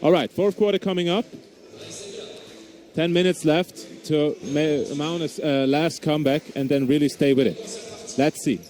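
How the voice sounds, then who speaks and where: A man's voice: on the high side, steady tone, a little slow, darkish tone. Swiss-German-accented man calling basketball. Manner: hesitant, frequent uhs and restarts. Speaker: basketball commentator. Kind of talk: live play-by-play commentary.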